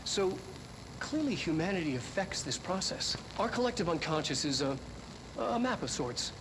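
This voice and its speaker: An actor playing an earnest scientist of the paranormal in a game, musing in a deliberate, searching lecture style. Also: male voice, high voice, big pitch swings. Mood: earnest, explanatory. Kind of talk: recorded scientific lecture to camera. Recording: clearly noisy background hum.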